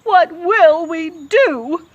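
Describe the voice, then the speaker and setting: A woman voicing a ferocious, mean-hearted cook who wails and shouts in slow, drawn-out bursts. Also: high voice, big pitch swings, muffled recording, voice pushed up, full character voice. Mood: weeping.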